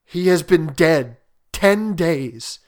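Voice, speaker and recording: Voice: mid-range voice, slow pace, fairly bright tone. A storyteller voicing a frightened old husband, tender but shaken, speaking unsteadily and faltering in a storybook reading. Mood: fearful faltering.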